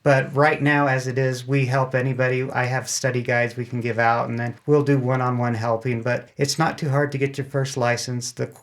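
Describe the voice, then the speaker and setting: A man's voice: mid-range voice, little pitch movement, normal speed, hardly any pauses. A man explaining things casually as a club guest in an interview, chatty and self-deprecating. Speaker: amateur radio club member, guest.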